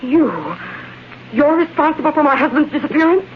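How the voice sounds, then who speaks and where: A woman's voice: high-pitched, brisk, natural voice. A woman playing an anxious, indignant wife in melodramatic old-time radio style. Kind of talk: 1930s-40s radio acting, melodramatic. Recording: muffled, very noisy vintage radio recording.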